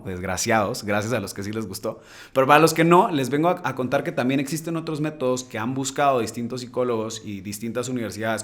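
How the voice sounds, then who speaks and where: Male podcast host, casual heartfelt monologue, slangy, soft-to-emphatic delivery, average pitch. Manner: earnest, slangy, addresses listeners directly; loudness swings between soft and emphatic.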